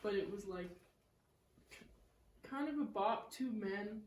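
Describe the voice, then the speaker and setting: A woman's voice: mid-range voice, expressive, measured pace, a little mellow, a little hiss or hum. Bubbly young woman in an echoey room. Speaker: co-host. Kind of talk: casual chat.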